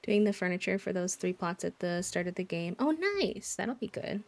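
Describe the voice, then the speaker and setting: A woman's voice: fairly low pitch, steady tone, moderate pace. A woman streaming a game, chatting casually and greeting viewers by name. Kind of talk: casual livestream chat while gaming.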